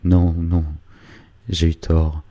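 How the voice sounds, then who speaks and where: A man's voice: low voice, monotone, extremely slow, warm tone. A man reading a storybook voicing an emotional middle-aged father, feverish and stammering. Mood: distraught.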